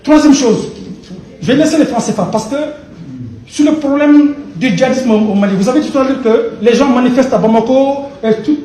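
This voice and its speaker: High-voiced man speaking from far in an echoey hall. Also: fairly quick, warm tone. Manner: insistent, lecturing, stumbles and repeats words. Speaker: pan-African activist. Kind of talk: impassioned audience remarks in a debate. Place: conference hall. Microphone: far from the microphone.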